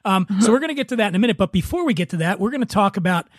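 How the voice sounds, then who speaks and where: A man's voice: high-pitched, animated, racing, dry recording, studio quality. A man hosting a casual comedy podcast, chatting with a joking, teasing manner. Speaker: podcast host.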